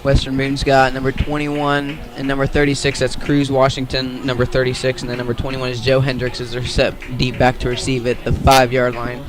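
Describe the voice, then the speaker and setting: An American man giving radio play-by-play sports commentary in a measured announcing manner. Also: medium pitch, completely flat delivery.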